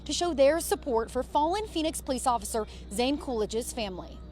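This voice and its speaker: Higher-pitched American woman reporting live. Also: expressive, a little fast, darkish tone. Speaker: TV news reporter.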